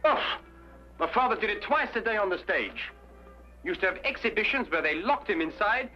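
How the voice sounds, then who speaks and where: High, excitable, slippery showman. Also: moderate pace, full character voice. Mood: brisk, chatty. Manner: excitable, repetitive, pleading. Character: nervy, slippery male stage escape artist pleading innocence. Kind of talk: vintage detective drama acting. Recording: dull old broadcast recording with music.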